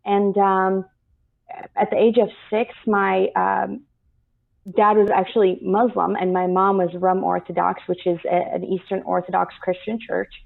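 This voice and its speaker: An earnest woman from the United States tells her own story as an interview guest. She speaks steadily with frequent pauses and has a slightly gravelly edge. The sound is thin and phone-like from a video call. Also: medium pitch, level tone. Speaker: guest activist. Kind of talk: personal account in an interview. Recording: tinny phone-like line.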